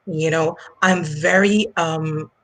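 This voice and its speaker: A woman's voice: sits low, fairly even intonation, slow, fairly bright tone, poor audio. A woman in her forties from Jamaica, with a Jamaican accent, talking earnestly as a finance coach guest in a casual interview, often repeating words as she thinks.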